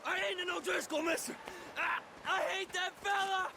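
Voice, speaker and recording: Shrill, frantic captive cowboy. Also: male voice, little pitch movement, normal speed, creaky, background noise. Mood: frantic, protesting. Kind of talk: cinematic western video game acting.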